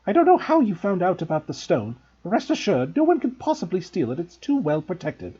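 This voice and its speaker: A man's voice: high voice, wildly swooping pitch, brisk, dark tone, husky, muffled recording, pitched above the performer's own voice, full character voice. A man voicing a stern, strict older woman professor who speaks in a clipped, commanding way.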